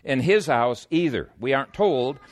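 A man's voice: mid-range voice, animated, normal speed. A man preaching an expository sermon in an emphatic, conversational teaching manner.

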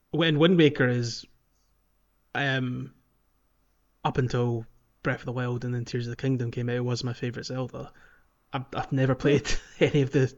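A man co-hosting a casual gaming podcast, chatting informally on a lo-fi recording. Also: mid-range voice. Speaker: podcast co-host. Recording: slightly dull, lo-fi audio.

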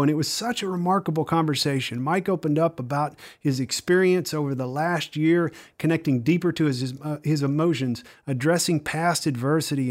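A man co-hosting a podcast, introducing a guest and asking questions in a loose, friendly way with many fillers and restarts. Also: fairly high pitch. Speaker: podcast co-host.

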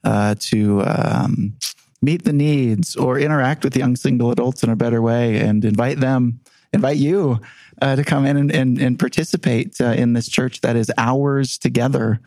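A man's voice: medium pitch, steady tone, moderate pace, darkish tone, no echo. A middle-aged man serving as a bishop, speaking earnestly with frequent hesitant fillers. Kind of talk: casual discussion.

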